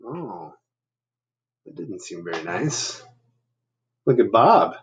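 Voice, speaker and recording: A man in his fifties reading a picture book aloud to children, adding chatty asides and gentle questions. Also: lowish voice, big pitch swings, slow, a little hiss or hum. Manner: asking the listeners gentle questions. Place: living room at home. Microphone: laptop webcam at arm's length.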